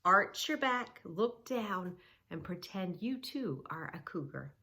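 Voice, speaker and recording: A woman reading a children's book aloud and gently guiding kids through yoga poses, soothing and instructive. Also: fairly low pitch, very animated, slow. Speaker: kids yoga teacher and reader.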